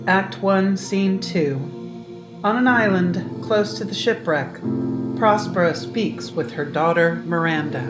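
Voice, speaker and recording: A woman's voice: medium pitch, takes it slowly. A woman narrator reading scripted stage directions for an audio drama. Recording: echoey, loud plucked-string music behind. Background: guitar music.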